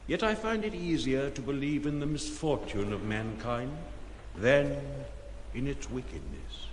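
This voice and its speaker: A man's voice: mid-range voice, expressive, measured pace, natural voice. An actor playing a world-weary, disillusioned man musing in an audio drama monologue, with an echoing sound. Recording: echoey long reverb, background noise.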